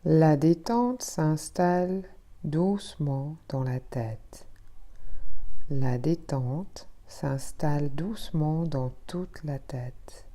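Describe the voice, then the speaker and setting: A woman teaching yoga nidra, guiding a relaxation meditation in a soothing, hushed, measured manner with gently repeated phrases. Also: sits low, lively intonation.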